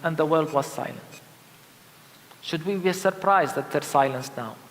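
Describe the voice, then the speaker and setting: Male pastor, Palestinian accent, high-pitched voice, long church reverb, grave forceful sermon, deliberate delivery. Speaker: Lutheran pastor.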